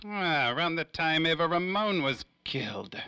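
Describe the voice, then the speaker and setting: A suave, bright-voiced scheming magnate. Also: male voice, a little high, sing-song, clear, resonant tone, dry recording, lo-fi sound. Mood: smug, evasive. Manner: theatrical, drawn-out, evasive phrasing. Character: suave, cagey, self-important industrial magnate in a noir serial. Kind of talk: 1930s radio drama acting.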